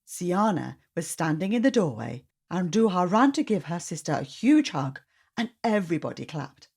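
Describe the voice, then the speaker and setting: A woman reading a storybook aloud to children in an expressive narrating style. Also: on the low side, normal speed, gravelly, breathy edge.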